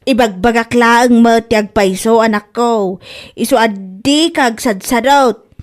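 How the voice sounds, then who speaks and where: A woman's voice: fairly high pitch, unhurried, natural voice. A woman with an Ilocano Filipino accent acting a village woman in a comedy drama. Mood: complaining, scolding.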